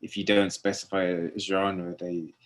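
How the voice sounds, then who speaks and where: A man's voice: low voice, flat delivery, unhurried. A man from England who later lived in Ukraine, a musician guest explaining things plainly in an interview. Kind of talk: casual interview chat.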